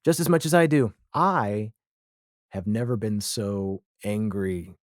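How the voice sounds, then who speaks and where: A man's voice: lowish voice, slow, no echo. Man chatting casually, dry close sound. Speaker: podcast guest, audio drama creator. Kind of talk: casual chat, opinionated retelling.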